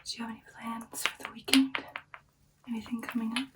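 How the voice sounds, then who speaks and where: A woman playing an affectionate, caring vampire girlfriend in a whispered ASMR roleplay, speaking softly and reassuringly, slightly off the mic. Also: a little high, level tone, fairly quick, far from the mic, heavy background noise, lightly acted. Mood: attentive, soothing. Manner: soft, soothing, reassuring personal attention.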